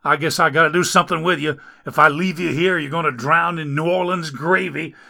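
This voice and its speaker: A man voicing a griping, foul-mouthed male rescuer who grumbles loudly in folksy slang. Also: fairly high pitch, steady tone, a little fast, gravelly, voice pushed up, exaggerated voice.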